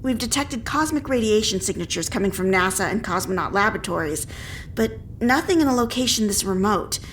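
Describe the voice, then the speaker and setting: An actress playing a careful, deferential engineering officer, giving measured technical reports in an audio drama. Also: female voice, mid-range voice, animated, a bit bright, a little hiss or hum, close to an everyday voice. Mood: measured, cautious. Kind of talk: full-cast audio drama acting.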